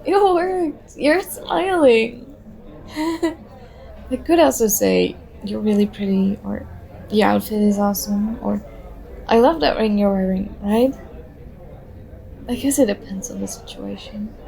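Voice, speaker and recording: A woman acting as a shy young stranger in an intimate ASMR roleplay. She is nervous and self-conscious, rambling in hesitant, overthinking turns. Her mid-pitched voice often drops into a crackly fry. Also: slow pace, pauses often, warm tone. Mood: giggly, encouraged.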